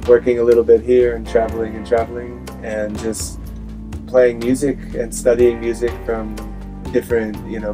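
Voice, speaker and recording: A young man from California, answering interview questions in a relaxed, laid-back way. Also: fairly low pitch. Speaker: guest musician. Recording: guitar music playing behind.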